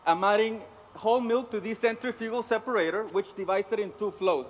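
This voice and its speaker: A man in his thirties from Honduras with a Honduran Spanish accent gives a formal, deliberate, rehearsed competition presentation as a barista. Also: high-pitched. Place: large indoor competition arena with empty stands. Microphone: headset. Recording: echoey hall with clear background noise. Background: venue ambience and noise.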